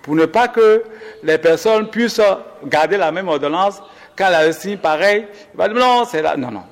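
A man speaking as a doctor in a health interview, explaining slowly with many fillers and restarts while advising listeners. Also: high-pitched, animated, big-room sound.

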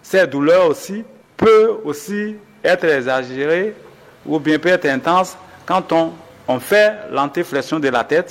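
A man's voice: fairly high pitch, big-room sound. A man, a doctor, patiently explaining symptoms in an interview, often restarting and correcting himself. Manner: measured, explanatory, with frequent restarts and self-corrections.

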